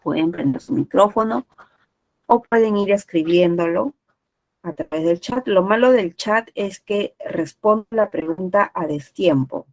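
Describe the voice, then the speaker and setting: A woman's voice: mid-range voice, measured pace, darkish tone, low-quality recording. A woman teaching an online class with a nose congested from a cold, explaining didactically and often checking understanding. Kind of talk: explanatory lecture with frequent check-in questions.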